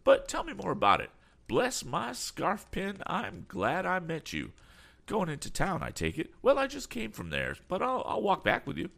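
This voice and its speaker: A man voicing an excitable, fussy, eccentric older gentleman in a storybook, chattering nervously with quaint exclamations. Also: high voice, moderate pace, vocal fry, voice pushed up, exaggerated voice. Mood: excited, eager.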